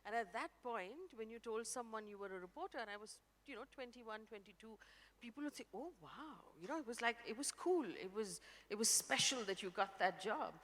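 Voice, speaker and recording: A woman in her forties with an Indian English accent, a journalist speaking on stage, articulate and measured. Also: fairly high pitch, animated, a bit bright. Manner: articulate, measured, light laughs. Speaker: journalist guest. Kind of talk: conference fireside chat. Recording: reverberant conference hall.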